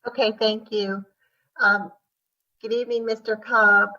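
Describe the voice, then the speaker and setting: Slow-spoken woman, muffled and distant. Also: mid-range voice, level tone, pushed and bright. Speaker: city grants official answering questions. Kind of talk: explaining at a public hearing. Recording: muffled audio, distant microphone.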